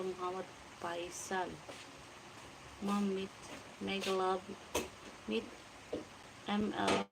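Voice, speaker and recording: A Filipino woman in her forties with a Filipino accent talks slowly and flatly, and her voice has a gravelly edge. She greets her livestream viewers by name and answers their comments. Steady background noise runs under her voice. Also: medium pitch. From Philippines. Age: 40s. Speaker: livestream vlogger. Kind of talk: casual livestream chat reading comments. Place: home at night.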